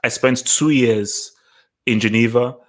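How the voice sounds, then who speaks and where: A man from Nigeria and England hosting a casual live-stream discussion, phrasing things deliberately and repeating them for emphasis. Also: mid-range voice, steady tone. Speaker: podcast host.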